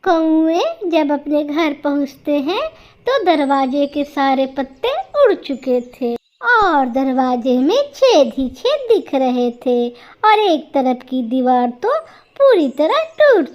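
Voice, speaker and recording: High-pitched, lively woman narrating a children's story. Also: takes it slowly, muffled recording.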